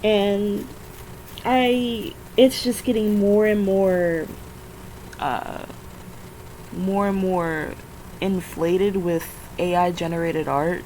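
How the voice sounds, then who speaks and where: A woman's voice: mid-range voice, very slow, long pauses, dark tone. A young woman with a scratchy, just-woken-up voice, rambling in a casual vlog while she draws, full of fillers and self-corrections. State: just woke up, tired. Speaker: vlogger.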